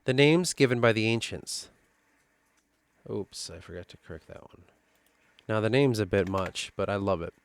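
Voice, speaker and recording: A man with a Midwestern accent reading through his own fantasy lore notes and thinking aloud, halting and restarting as he goes. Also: lowish voice, animated, clear, resonant tone. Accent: Midwestern American. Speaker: worldbuilding writer.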